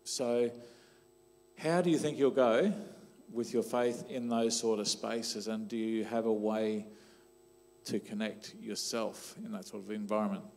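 A man's voice: fairly low pitch, steady tone, unhurried, vocal fry, a little mellow, soft background music. A middle-aged Australian man, a church leader interviewing a young member on a church stage through a handheld mic, conversational with "um" and "sort of". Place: church stage, large hall.

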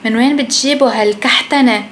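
High-voiced young Lebanese woman, chatting casually. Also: fast, fairly bright tone. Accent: Lebanese Arabic. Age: young adult. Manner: mixes English phrases into Arabic; blunt, swears freely. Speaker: food vlogger. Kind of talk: casual chat to camera. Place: indoors at home, kitchen and living room. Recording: clear background noise.

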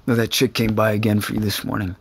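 An actor playing an exasperated but protective friend in an audio drama, blunt, profane and insistent. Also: male voice, fairly low pitch, flat delivery, fast, gravelly, a little hiss or hum. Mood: concerned. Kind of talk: naturalistic audio drama acting.

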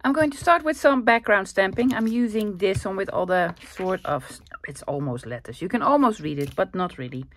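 A woman's voice: mid-range voice, lively intonation, normal speed, hardly any pauses. Chatty Dutch woman crafting at her desk. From Netherlands. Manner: chatty, rambling, with fillers and soft laughs. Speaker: craft channel host. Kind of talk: casual craft-with-me chat.

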